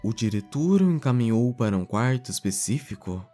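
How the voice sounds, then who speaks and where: A man's voice: mid-range voice, very animated, normal speed, mellow, lightly acted. A man playing a businesslike, curt school front-desk secretary in an audio novel. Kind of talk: audio novel character acting. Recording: music under the voice.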